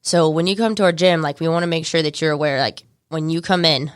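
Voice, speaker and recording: A young woman with an American accent, a martial arts studio owner chatting as a radio guest in a studio, telling stories quickly. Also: deep voice, no room sound. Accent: American, Georgia. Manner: chatty, quick storytelling with laughs. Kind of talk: casual interview chat.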